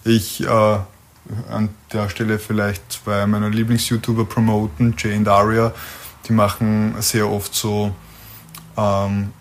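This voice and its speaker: A man's voice: on the low side, completely flat delivery, slow pace. A man with an Austrian German accent co-hosting an unscripted chat about films and series, explaining his opinions in an informal way. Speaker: podcast co-host.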